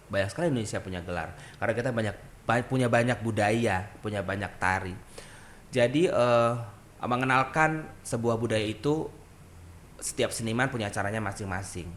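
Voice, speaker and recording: A young adult man, a dance group founder and trainer, chatting on a podcast in an animated way. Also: lowish voice, a little hiss or hum. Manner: animated, sings melodies and acts out quoted voices. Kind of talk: casual podcast conversation.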